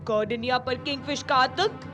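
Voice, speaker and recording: A woman's voice: high voice, normal speed, over music, natural voice. A woman voicing an imperious, demanding lady villain in a cartoon dub, haughty and commanding.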